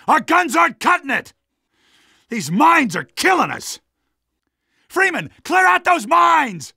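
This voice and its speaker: An actor playing a cocky, stubborn rebel fighter in a video game, casual, streetwise and gravelly. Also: male voice, very high voice, bright, forceful tone, no room sound, studio quality, exaggerated voice. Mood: alarmed shouting.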